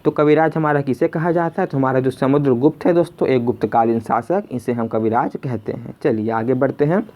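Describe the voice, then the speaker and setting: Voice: mid-range voice, brisk. Exam tutor with dull, lo-fi sound. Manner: repeats each question before answering, addresses listeners as friends. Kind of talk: question-and-answer exam lesson narration.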